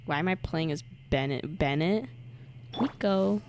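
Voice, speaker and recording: A woman's voice: low-pitched, a little slow, a little mellow, a little hiss or hum. A woman giving casual, playful commentary on a game livestream, joking and giggly. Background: game music.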